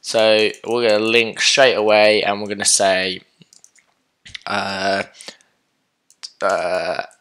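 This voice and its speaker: A young man talks through a coding tutorial with a voice worn down by illness. His pitch sits low, yet the tone stays bright and forward. He rambles casually, full of fillers and restarts, and keyboard typing can be heard behind him. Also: level tone, takes it slowly, long pauses. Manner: casual and chatty, with many fillers and self-corrections. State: sick, sore throat, losing his voice.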